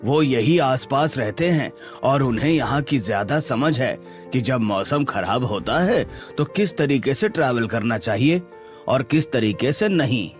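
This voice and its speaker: Ordinary family man male actor, practical and courteous, medium pitch, cartoon drama with faint music. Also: animated, measured pace, natural voice. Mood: agreeable. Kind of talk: Hindi cartoon family story dialogue.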